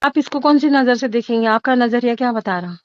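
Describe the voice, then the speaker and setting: A woman's voice: a little high, fairly quick, no room sound. A woman from Maharashtra, India, a social worker asking questions in a phone conversation. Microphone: phone call. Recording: dull lo-fi phone call recording.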